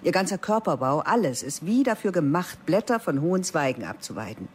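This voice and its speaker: Stern veteran schoolteacher, fifties, clipped and cold, radio play narration over faint hiss. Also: female voice, lowish voice, sing-song, brisk. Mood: lecturing. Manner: cool, clipped, detached. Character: stern aging female biology teacher. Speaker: narrator and lead character.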